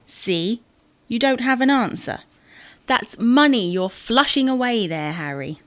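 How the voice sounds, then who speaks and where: A woman's voice: on the high side, animated, unhurried, no echo. A British woman playing an exasperated, matter-of-fact wife bickering over household matters in an audio sitcom. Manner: nagging, matter-of-fact, tag questions. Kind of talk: audio sitcom acting, domestic dialogue.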